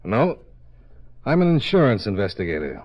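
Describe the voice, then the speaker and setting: A lo-fi vintage radio recording of a hardboiled investigator. His voice is on the low side, and his delivery is clipped, dry and deadpan. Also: moderate pace. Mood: dry, businesslike. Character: terse, dry-witted male insurance investigator, hardboiled. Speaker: lead detective and narrator. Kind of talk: 1950s hardboiled radio detective acting. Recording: dull old radio recording.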